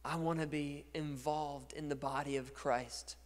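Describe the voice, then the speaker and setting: Male pastor, 30s, higher register, energetic sermon delivery into a handheld mic, echoing church hall. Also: unhurried. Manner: energetic preaching, gesturing and moving on stage, swings from quiet to loud. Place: large dark church stage. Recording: long reverb of a large church hall.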